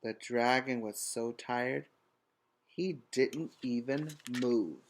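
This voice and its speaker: A man's voice: mid-range voice, slow pace, a little hiss or hum. A man reading a storybook aloud as a preschool teacher, with simple, instructive asides to the children.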